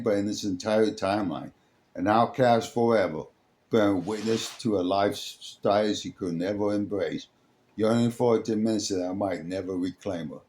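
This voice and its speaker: A man reading a dramatic scripted story as its narrator, in a halting way, sometimes stumbling over the words. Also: on the low side, darkish tone. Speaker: storyteller, host.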